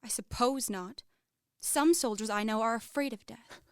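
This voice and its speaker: Proud young romantic heiress female actor, high voice, dry close sound, lofty theatrical delivery for radio drama. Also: moderate pace, no echo, lightly acted. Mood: frightened, defiant. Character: romantic, proud young lady of a wealthy house. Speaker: actor in a student radio play. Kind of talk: radio play acting.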